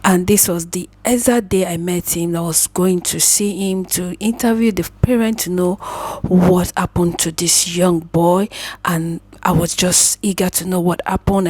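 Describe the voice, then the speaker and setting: A woman's voice: fairly low pitch, a little mellow, no echo. An earnest, emotional Nigerian woman whose first language is Igbo tells a personal story in her vlog, often repeating phrases. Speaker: vlogger.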